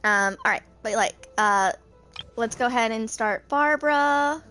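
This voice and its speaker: A woman's voice: fairly high pitch, unhurried, sharp tone, faint music behind. A woman in her twenties giving casual, rambling gameplay commentary, hesitant and thinking aloud as she goes. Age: 20s. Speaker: gaming video creator.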